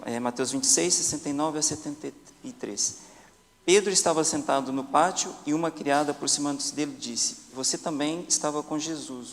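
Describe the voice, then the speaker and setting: Brazilian man leading Bible study in church. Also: a little high. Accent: Brazilian Portuguese. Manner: reads scripture aloud, asks the class questions, hesitates with fillers. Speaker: Sabbath school teacher. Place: church sanctuary. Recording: church hall with long reverb.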